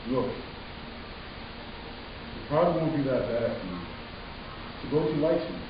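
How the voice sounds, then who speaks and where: A man's voice: mid-range voice, expressive, normal speed, close to an everyday voice. A man playing a smug, goading rival in a dramatic story reading, blunt and taunting. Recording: distant, very echoey room, muffled, hissy.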